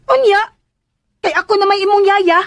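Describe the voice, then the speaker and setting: An actress playing a cheeky, pleading young caretaker in a radio drama, blurting things out in hesitant, chatty back-talk. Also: female voice, extremely high pitch, moderate pace, music in the background.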